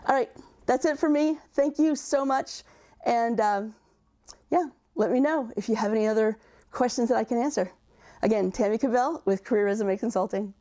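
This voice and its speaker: A middle-aged woman with a raspy voice from recent illness, a career coach giving conversational livestream advice and often repeating words as she thinks. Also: on the high side, normal speed, dark tone.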